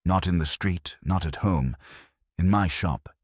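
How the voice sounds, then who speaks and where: A man voicing an anxious, determined woman who owns a bakery, halting and earnest in a detective story. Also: low voice, no room sound, studio quality. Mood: worried, grave.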